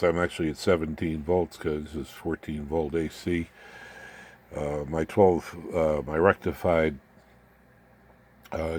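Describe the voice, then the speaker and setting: A man with a notably low-pitched voice walks through a homemade electronics project as a hobbyist would. His delivery is unscripted and informal, with folksy phrasing, joking asides and a rambling path through the technical details. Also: darkish tone. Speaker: amateur radio hobbyist presenting his home-built project.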